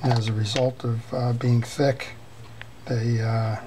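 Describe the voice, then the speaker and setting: Unhurried man explaining a reel repair. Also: medium pitch, level tone, background noise. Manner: unhurried, many fillers and restarts. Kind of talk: hands-on repair tutorial. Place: workbench in a workshop.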